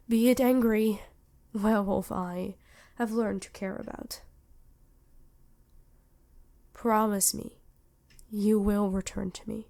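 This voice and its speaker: A woman voicing a worried, protective vampire queen in an ASMR roleplay, earnest and measured, with dramatic pauses. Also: mid-range voice, dark tone, close to an everyday voice. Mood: tender, fearful. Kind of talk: ASMR roleplay acting addressed to listener.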